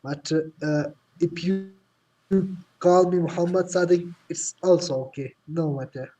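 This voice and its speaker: A man's voice: a little high, fairly even intonation, measured pace, mellow. A man from Pakistan with a Pakistani accent, a Pashto speaker, talking hesitantly with many fillers on a video call. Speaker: chat participant. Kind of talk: casual video call chat.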